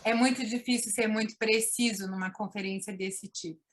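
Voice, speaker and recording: A woman moderating an online Q&A and interpreting a guest's French, relaying phrases briskly in short pieces. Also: mid-range voice, fairly even intonation, a little hiss or hum.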